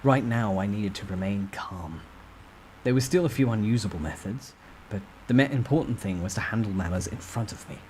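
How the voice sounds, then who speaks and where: A man's voice: on the low side, fairly quick, a little mellow, a little hiss or hum, rough recording. A man giving a dramatic first-person storytime reading of a novel. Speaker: narrator reading a novel aloud.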